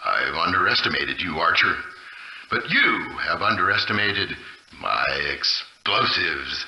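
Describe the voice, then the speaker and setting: An actor playing a boastful, defiant armored general in a video game, taunting with forceful, theatrical delivery. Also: male voice, sits low, very animated, measured pace, vocal fry, muffled recording, a little hiss or hum, exaggerated voice. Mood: bitter defiance. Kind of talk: video game villain voice acting.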